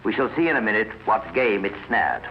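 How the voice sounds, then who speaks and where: A man giving measured, wry scripted narration for a 1950s radio crime drama, muffled and hissy. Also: lowish voice, expressive.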